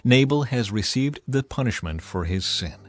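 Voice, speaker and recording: A man's voice: medium pitch, expressive, normal speed, soft background music, natural voice. Man reading as David, a young warrior leader. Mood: earnest. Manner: earnest, vowing.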